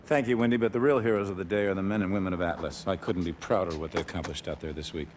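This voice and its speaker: An actor playing a self-assured, authoritative boss of a private military company in a game. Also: male voice, deep voice, fairly even intonation, racing, darkish tone, a little hiss or hum, natural voice. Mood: proud, composed. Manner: authoritative, decisive.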